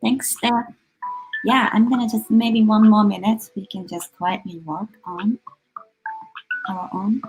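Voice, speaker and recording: A woman's voice: medium pitch, fairly even intonation, unhurried, pauses often, over music, poor audio. A woman hosting a live online workshop in an informal, slightly hesitant way. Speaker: workshop host. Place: online video session.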